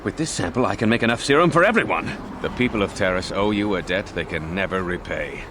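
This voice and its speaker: Deep-voiced, earnest medic. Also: male voice, lively intonation, fast talker, noisy background. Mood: kindly. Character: earnest, kindly male medic and shopkeeper. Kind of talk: video game voice acting.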